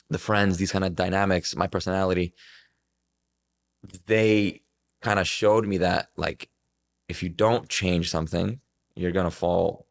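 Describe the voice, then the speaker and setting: A man in his twenties talking casually and reflectively as a podcast guest, earnest and rambling, with plenty of filler words. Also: deep voice. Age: 20s.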